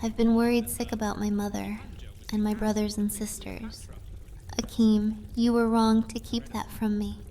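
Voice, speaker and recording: A woman plays a soft-spoken witch who tells fortunes in an audio drama. Her voice is mellow with plenty of fry, earnest but sly. A faint noisy ambience surrounds it. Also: medium pitch, little pitch movement, normal speed, lightly acted. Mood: worried, reproachful.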